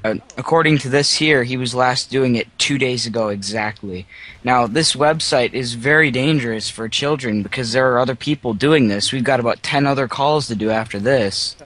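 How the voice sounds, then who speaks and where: A man's voice: mid-range voice, normal speed, a bit bright, lightly acted. A man making a prank phone call as a serious website manager, explaining and warning in an insistent, repetitive way. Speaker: prank caller.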